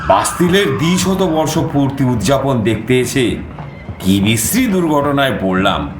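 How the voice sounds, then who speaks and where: A man's voice: mid-range voice, normal speed, reverberant, background noise, close to an everyday voice. An actor playing a theatrical raconteur who tells his own travel adventure as a dramatised audio story. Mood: tense, vivid. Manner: expressive, theatrical. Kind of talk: dramatised audio story narration in first person. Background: background music.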